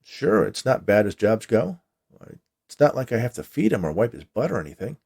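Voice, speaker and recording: A man reads as a former prison guard sizing up a new job in an audio short story. The voice is low and creaky, the manner casual and inquisitive. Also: sing-song, brisk, a little mellow, heavily acted. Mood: casual, agreeable. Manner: questioning, casual. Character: curious ex-prison guard, prospective new hire.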